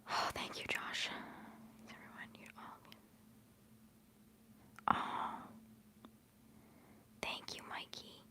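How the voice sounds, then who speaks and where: A young woman hosting a livestream, whispering softly as she rambles through chat messages and answers viewers fondly, holding back tears. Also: drawn-out words, pauses often. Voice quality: soft whispering voice. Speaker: livestream host.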